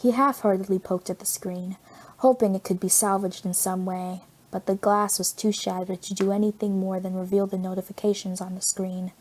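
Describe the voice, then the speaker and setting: A woman reading scripted fiction as an audiobook narrator, in an expressive storytelling manner. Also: medium pitch, normal speed, low-quality recording.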